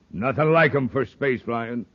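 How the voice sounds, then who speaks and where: An actor playing a blustery, boastful old space captain with a folksy drawl, stammering and repeating himself in a 1950s radio serial. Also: male voice, mid-range voice, slow pace, no echo. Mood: sheepish, boastful. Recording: noisy, muffled 1950s radio recording.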